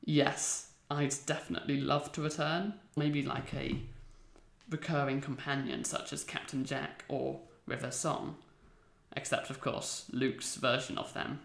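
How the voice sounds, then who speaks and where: Gravelly man in an echoey room. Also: mid-range voice, flat delivery, measured pace, a bit bright, slight background noise. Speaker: fan audio drama cast member. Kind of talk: casual interview answers.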